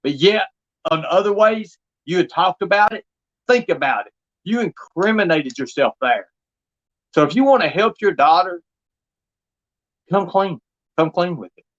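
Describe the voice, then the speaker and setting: A man's voice: high-pitched, expressive, normal speed, pauses often, muffled recording. A man livestreaming in an insistent, repetitive, confrontational way. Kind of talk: impassioned monologue to an absent person.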